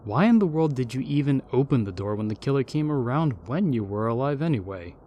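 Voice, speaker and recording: A man's voice: medium pitch, lively intonation, brisk, mellow, a little hiss or hum, lightly acted. Man as a jaded, snobbish, aloof ghost. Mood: disdainful. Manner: dry, aloof, sardonic. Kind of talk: amateur comedic audio roleplay.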